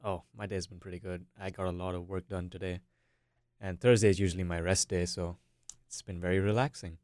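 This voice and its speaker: This is a young man from India with a deep voice and a smooth, clear tone. He is a student guest on a podcast, talking casually in a dry recording space. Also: fairly even intonation, brisk, darkish tone, no echo. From Bangalore, India. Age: late teens. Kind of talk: casual interview talk.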